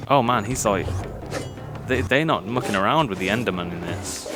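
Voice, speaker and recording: A man's voice: fairly low pitch, animated, normal speed, over music. A young man from Birmingham with a mild Birmingham accent, chatting self-consciously over gameplay with nervous laughs and many fillers. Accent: mild Birmingham English. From Birmingham, England. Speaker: gaming YouTuber. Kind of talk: casual gameplay commentary.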